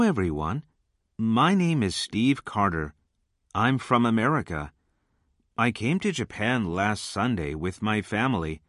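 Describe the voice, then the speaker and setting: A man playing a friendly junior high school student in scripted English listening test material, enunciating carefully. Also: fairly bright tone, dry recording, studio quality. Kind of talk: scripted English lesson dialogue.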